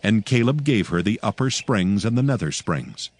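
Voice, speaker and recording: A man's voice: fairly low pitch, expressive, moderate pace, no echo. A man narrating scripture from a script in a solemn, dramatic storytelling manner.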